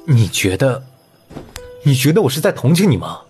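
A young man acting a hot-tempered but caring high school boy in a Chinese audio comic drama. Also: very animated, moderate pace, darkish tone, natural voice. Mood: frustrated, angry. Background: background music.

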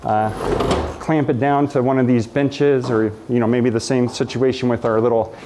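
A man's voice: mid-range voice, flat delivery, normal speed, noisy background. A man in his thirties giving a casual, enthusiastic walking tour of a large workshop, gesturing as he talks with many fillers. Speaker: makerspace director giving a shop tour. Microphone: camera mic, medium distance.

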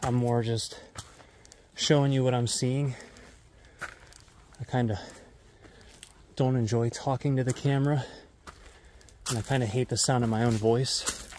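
A man in his thirties talking casually to his head-mounted camera as he hikes a dark mountain trail at night, rambling and swearing freely. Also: medium pitch, steady tone, measured pace, pauses often, slight background noise. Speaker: vlogger. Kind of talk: casual vlog narration while hiking. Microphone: head-mounted action camera.

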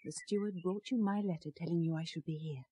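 An actress playing a fearful, guarded noble wife in a radio drama, with measured, formal, old-fashioned speech. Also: female voice, warm tone, no room sound. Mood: wary, urgent. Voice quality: husky, low. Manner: measured, formal archaic phrasing.

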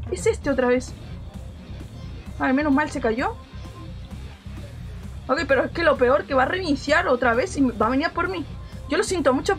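Young girl streamer gaming live, really high voice, spanish accent w mexican twang, animated n repeats herself, music behind. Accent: Spanish with Mexican inflections. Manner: animated, repeats phrases rapidly, complaining slangily. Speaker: game streamer. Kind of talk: casual live gameplay commentary.

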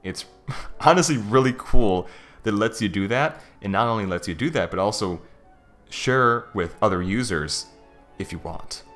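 A man with a low-pitched voice talks through a software demo in a casual, informal way. He thinks aloud as he explains each feature, like a conversational tech reviewer. Music plays behind his voice. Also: animated, normal speed. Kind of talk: casual screen-recorded software walkthrough. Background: background music.

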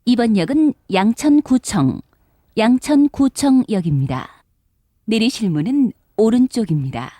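A woman announcer delivers formal, scripted transit messages. Her voice is mellow and somewhat high, with lively, melodic intonation, recorded dry and close. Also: measured pace, no room sound. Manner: polite, formal. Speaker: subway announcer.

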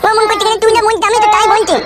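A woman voicing a cheeky, foul-mouthed little village boy in a comedy cartoon, with a rural West Bengal accent and a pitched-up voice. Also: steady tone, racing, a bit bright. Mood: brash, cheeky. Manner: brash, mouthy.

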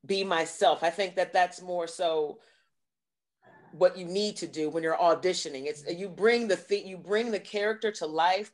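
Lower-voiced woman, halting and reflective. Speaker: guest, stage actress. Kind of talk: casual interview chat. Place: room at home, indoors. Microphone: video call.

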